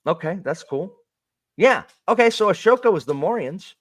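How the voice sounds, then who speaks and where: A man hosting a livestream, chatting casually in a lively, rambling way. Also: high-pitched, normal speed, no echo. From Canada. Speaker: streamer host.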